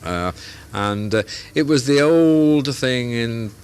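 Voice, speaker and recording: A man's voice: mid-range voice, expressive, takes it slowly, slight background noise. A man answering interview questions about his hobby club, explaining patiently in plain comparisons. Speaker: radio club founder.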